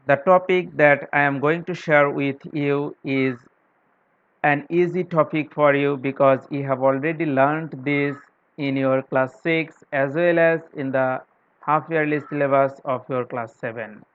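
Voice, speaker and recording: A man with a Bangladeshi accent and Bengali as his native language, teaching an English grammar class in a deliberate way, repeating phrases as he explains. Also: on the high side, fairly even intonation, clean tone. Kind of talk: instructional grammar lesson. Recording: thin, phone-like narrow-band sound.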